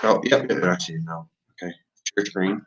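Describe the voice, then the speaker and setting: A man's voice: low voice, moderate pace, fairly bright tone. Casual man on a lo-fi livestream. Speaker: livestream co-host. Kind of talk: casual chat. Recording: lo-fi livestream audio.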